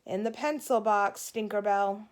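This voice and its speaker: A woman's voice: mid-range voice, a little slow, close to an everyday voice. Woman acting a snappy, moody young girl. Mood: bossy. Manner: emphatic, snappy, dramatic. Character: moody, bossy young girl, exasperated big sister. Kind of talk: children's storybook read-aloud.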